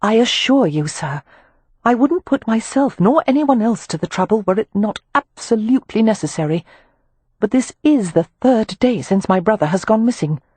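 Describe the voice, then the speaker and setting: A woman's voice: fairly high pitch, animated, normal speed, a little mellow, husky, no echo, pitched above the performer's own voice. A woman voicing a proper, determined young Victorian lady who speaks politely, formally and insistently. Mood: earnest, insistent.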